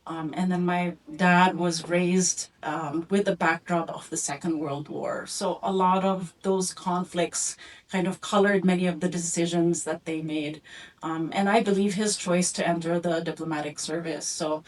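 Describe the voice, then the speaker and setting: A woman's voice: on the low side, fairly even intonation. Reflective woman speaking from afar. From Philippines and Indonesia, raised abroad. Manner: reflective, many ums and pauses. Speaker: community speaker presenting her family story. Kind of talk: storytelling talk with photo slides. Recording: voice from a distance.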